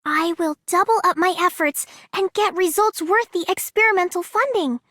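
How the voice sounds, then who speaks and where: Game role: grateful young inventor girl, high-pitched, flustered, earnest, dry studio sound. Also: normal speed, fairly bright tone, no echo. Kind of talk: video game character voice lines.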